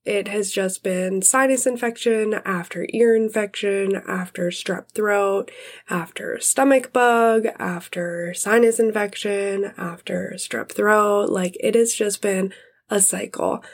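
A woman's voice: medium pitch, fairly even intonation, slow pace. A woman in her thirties hosting her podcast in casual, friendly chat, her voice congested from illness. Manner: friendly, chatty. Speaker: podcast host.

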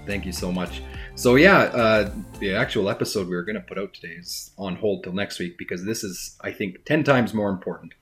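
A man from Canada hosting a podcast in casual, upbeat chat, his voice hoarse and scratchy from dust. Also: lowish voice, lively intonation, a little fast, fairly bright tone, faint music behind. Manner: chatty, upbeat, rambling with restarts. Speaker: podcast host.

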